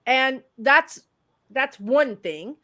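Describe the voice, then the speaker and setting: A woman, a psychic medium chatting casually as a livestream guest, rambling in a loud voice. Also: high-pitched, lively intonation, very slow.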